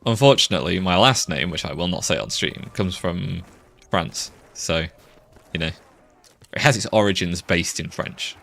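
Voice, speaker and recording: A man's voice: sits low, animated, moderate pace, creaky, fairly bright tone. A young man, chatting casually while streaming a game, laid-back and swearing now and then. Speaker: VTuber streamer. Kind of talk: casual gameplay chat with chat. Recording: game sound noise behind voice.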